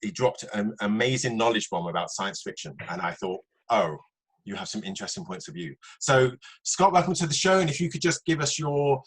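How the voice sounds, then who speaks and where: A man hosting a podcast, chatting casually as he interviews a guest, with a husky voice. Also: medium pitch, lively intonation, brisk, rough recording.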